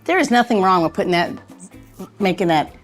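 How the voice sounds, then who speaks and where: A woman's voice: lowish voice, lively intonation, a little fast, soft background music. A woman chatting casually and informally as a podcast co-host. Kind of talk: casual chat.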